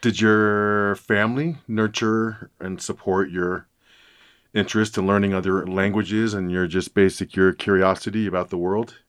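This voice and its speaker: An interviewer asking measured, curious follow-up questions. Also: deep voice, flat delivery, no room sound, studio quality.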